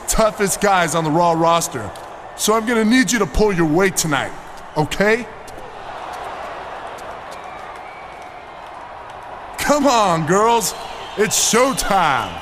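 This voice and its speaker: A vain, cocky pro wrestler in a video game. The voice sits high and sounds smug and showy, always boasting. Clear noise runs behind it. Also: male voice. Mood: bossy, urging. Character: cocky vain male muscleman wrestler, self-styled masterpiece. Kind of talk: video game cut scene acting.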